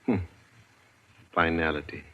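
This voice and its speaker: A man's voice: deep voice, fairly even intonation, measured pace, a bit bright. An actor playing a secretive, wary man who broods aloud in clipped phrases in a 1940s radio drama. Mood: grave, musing. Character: secretive, wary, smallish man, dryly scheming. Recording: muffled vintage radio recording with faint noise.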